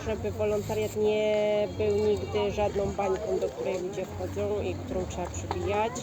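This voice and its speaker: A woman giving a reflective, spontaneous interview answer, pausing to find her words. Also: mid-range voice. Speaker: volunteer association member. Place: outdoor volunteering fair in a park. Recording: loud outdoor fair crowd noise.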